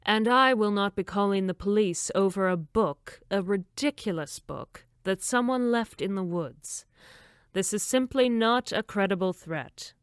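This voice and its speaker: A woman's voice: mid-range voice, a little slow, clear, resonant tone, dry recording, studio quality, lightly acted. A woman playing a prim, condescending female camp director in a horror audio drama, coolly polite. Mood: stern, dismissive. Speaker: voice actor.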